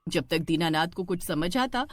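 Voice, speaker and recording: A woman narrating a scripted horror cartoon story in an expressive storytelling style. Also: on the low side, moderate pace.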